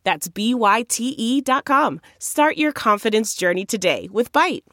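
A woman announcer delivering a peppy ad in a fairly high, lively voice. The recording is close and dry, with no room sound. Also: measured pace, dry recording. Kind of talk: upbeat ad read.

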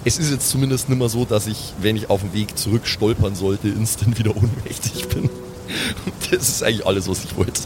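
A man's voice: on the low side, steady tone, a little fast, music in the background. A man chatting casually as a player in an improvised tabletop roleplaying game. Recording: clear ambient noise behind.

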